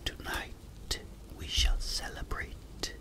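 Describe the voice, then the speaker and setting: Casting: middle-aged man as doting, predatory castle vampire for ASMR roleplay; whispered, very slow, seductive. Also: close to an everyday voice. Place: small furnished bedroom. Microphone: headset.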